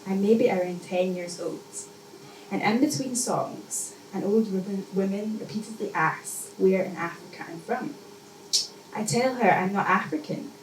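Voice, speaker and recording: A woman reading her spoken-word poetry at a live event, with measured, deliberate phrasing and pauses. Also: mid-range voice. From Scotland. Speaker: poet. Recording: echoey, noisy room, mic distant.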